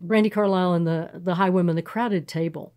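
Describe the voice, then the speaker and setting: A middle-aged woman from Texas speaks with a Texan accent and a slightly low voice. She tells stories in an easy podcast chat, full of fillers and restarts. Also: fairly even intonation, fairly quick. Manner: warm storytelling, frequent fillers and restarts. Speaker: pastor. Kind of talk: casual podcast interview.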